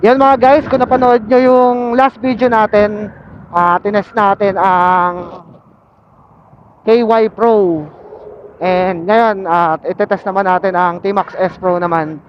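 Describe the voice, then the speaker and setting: A man's voice: sits high, steady tone, slow. A Filipino man chatting casually as a motovlogger while riding, mixing English phrases into his talk through a helmet intercom mic. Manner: code-switches into English phrases, filler-laden. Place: on a motorcycle in city traffic. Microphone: Bluetooth helmet intercom linked to action camera. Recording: muffled helmet intercom mic with vehicle noise. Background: faint vehicle and road noise.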